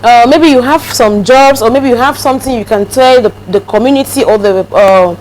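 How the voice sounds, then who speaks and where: High-voiced Cameroonian woman hosting. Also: normal speed, darkish tone, a little hiss or hum, poor audio. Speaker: talk show host. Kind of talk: casual interview.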